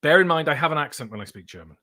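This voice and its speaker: Bright-voiced man, rambling live-stream chat. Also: a little high, expressive, rapid-fire. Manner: rambling and emphatic, with frequent self-corrections and asides to chat. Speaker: board game content creator and live streamer. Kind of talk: casual live-stream monologue.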